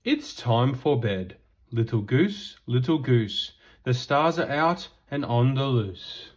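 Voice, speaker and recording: A man reading a children's bedtime picture book aloud in a gentle, singsong rhythm. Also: medium pitch, animated, slow pace, breathy edge.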